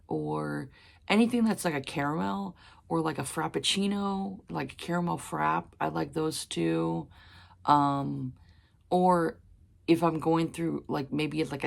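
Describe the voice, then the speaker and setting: Woman, hoarse with a cold, deep voice, rough fry, rambling, lots of fillers. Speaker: book vlogger. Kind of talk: casual chat.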